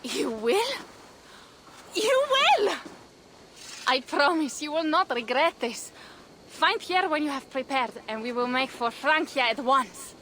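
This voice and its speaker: A woman's voice: high-pitched, sing-song, slow, a bit bright, a little hiss or hum, heavily acted. An actress playing a proud, restless young Viking warrior woman in a video game, speaking in an earnest, blunt way. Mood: bold, eager. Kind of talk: video game cutscene acting.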